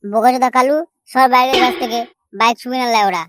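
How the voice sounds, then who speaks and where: An actor voicing a brash, boastful young village troublemaker in a comedy cartoon, loud and scolding. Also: mid-range voice, fairly even intonation, quick pace, noisy background, lightly acted. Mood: irritated boasting.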